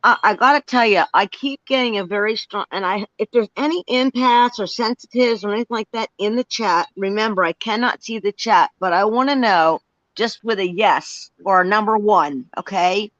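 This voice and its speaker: Sixty-something woman co-host, mid-pitched and emphatic, dry dull lo-fi sound. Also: normal speed, fairly bright tone, no echo. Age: 60s. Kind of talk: live-stream ghost hunt chat. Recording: dry, dull lo-fi stream audio.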